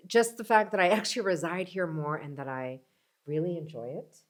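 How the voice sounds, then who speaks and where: American woman in her fifties, chatty guest, animated, slightly lower pitch. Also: a little fast. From United States. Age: 50s. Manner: animated, laughs often, acts out quoted voices. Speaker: mental health practitioner. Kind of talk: casual interview chat.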